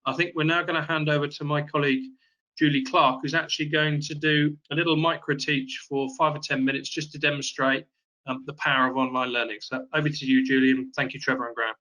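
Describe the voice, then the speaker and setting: A man hosting a webinar, brisk and upbeat as he wraps up and hands over. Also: on the high side, flat delivery, bright, forceful tone, poor audio. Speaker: webinar host.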